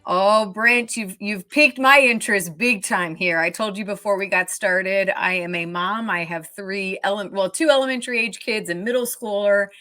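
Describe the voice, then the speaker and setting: A woman's voice: mid-range voice, moderate pace, few pauses, pushed and bright, dry recording, faint music behind. A woman hosting a TV show, presenting and interviewing in a conversational broadcast style. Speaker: TV show host.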